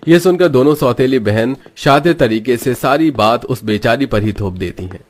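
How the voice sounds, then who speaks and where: A man's voice: medium pitch, moderate pace. A man narrating a scripted moral story as a storyteller. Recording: music bed behind voice.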